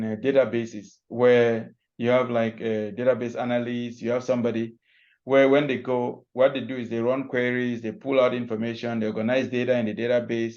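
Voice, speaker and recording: Man from Cameroon, gravelly voice, loose step-by-step explainer over a dull video call line. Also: mid-range voice, darkish tone. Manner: loose, restarting, walks through examples step by step. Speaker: guest cloud security expert. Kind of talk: online panel talk, explaining his job. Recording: muffled call audio.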